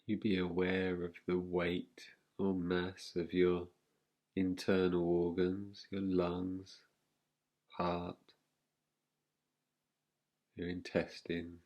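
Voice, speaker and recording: A man guiding a relaxation meditation as a teacher, speaking in a soothing, unhurried manner with long pauses. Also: low-pitched, monotone, darkish tone.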